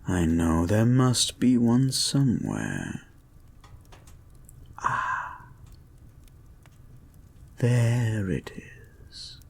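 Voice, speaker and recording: A man performs a creepy male hypnotist, low and gravelly, in an intimate audio roleplay. He speaks extremely slowly, with calm control, in a breathy murmur that slips into whispers as he guides the listener like an obsessive therapist. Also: exaggerated voice. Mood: smug, intrigued, then quietly fascinated. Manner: soft, breathy, deliberate murmur often dropping into whispers.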